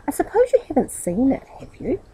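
A woman's voice: high-pitched, wildly swooping pitch, brisk, dark tone, no room sound, pitched above the performer's own voice, low-quality recording. Woman putting on a worried, polite little lady. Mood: apologetic. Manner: apologetic, anxious.

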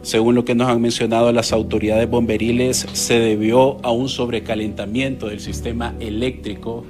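A middle-aged man with a Honduran accent giving a halting, improvised live news report on location into a handheld microphone. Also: medium pitch, level tone, over music. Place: on location at a house.

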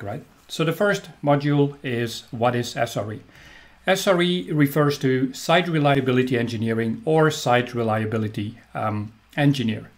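A middle-aged man from Belgium with a Belgian accent, giving an enthusiastic recorded technical presentation as a trainer, with frequent ums. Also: medium pitch. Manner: upbeat, enthusiastic, with frequent um fillers.

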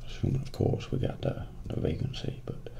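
A man playing a folksy small-town motel clerk in a soft-spoken ASMR role play, with a Southern American accent, breathy and gravelly, often near a whisper. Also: natural voice. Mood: folksy, unhurried. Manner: slow, drawling, folksy, hesitant pauses. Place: small dim office at a desk. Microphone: close binaural microphone. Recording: noisy close binaural recording, room ambience.

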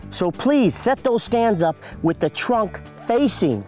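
Male presenter, thirties, high voice, instructional, outdoors, light music underneath. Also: sing-song, takes it slowly, mellow. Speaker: presenter giving a hunting tip. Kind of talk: instructional talk to camera. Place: outdoors in leafy woods, in a tree stand.

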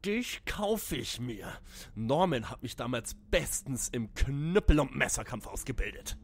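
Male actor as unhinged stalker fan, menacing and theatrical, slow with big pitch swings, noisy ambience. Parody audio drama. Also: expressive, slightly rough. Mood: startled, defiant. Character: obsessed deranged male fan in a wheelchair, menacing.

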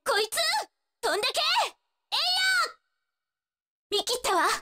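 A woman's voice: very high-pitched, expressive, normal speed, pushed and bright, in a large hall, slight background noise, full character voice. A woman voicing a spirited, cocky young female martial-arts fighter in a fighting game, brash, taunting and determined. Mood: fierce, confident. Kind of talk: Japanese fighting-game voice acting, short battle lines.

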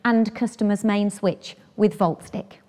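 A woman's voice: mid-range voice, fairly even intonation, a little slow. A British woman in her thirties presenting scripted instructions for a training film.

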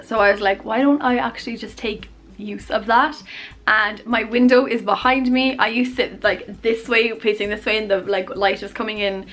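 A woman in her twenties rambling casually to camera in her bedroom, chatty and self-deprecating, her voice a little hoarse. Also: on the high side, fairly even intonation, normal speed, a bit bright. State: losing her voice, coughing. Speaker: YouTuber. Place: bedroom, indoors. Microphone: camera on tripod at arm's length.

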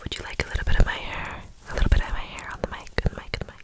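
An ASMR creator speaking in a breathy, unvoiced whisper close to the microphone, often repeating words and phrases over and over. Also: rapid-fire.

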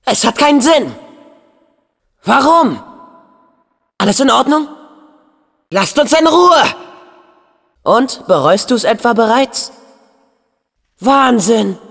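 A man playing an energetic, earnest teenage boy hero in a video game. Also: very high-pitched, vocal fry, exaggerated voice. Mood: emotional, urgent.

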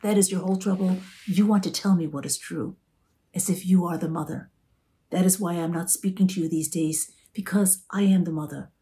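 A woman's voice: fairly low pitch, fairly even intonation, brisk, mellow, close to an everyday voice. A woman voicing a bossy older immigrant mother who lectures in broken English, in an audiobook reading. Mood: scolding. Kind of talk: audiobook character voice.

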